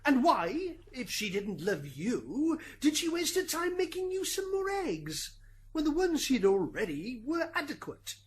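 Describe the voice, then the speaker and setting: A woman's voice: high voice, wildly swooping pitch, a little slow, full character voice. A woman acting a fussy, stammering defence lawyer in an amateur radio drama courtroom. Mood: probing. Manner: stammering, pointed courtroom questioning. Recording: hissy amateur recording, background noise.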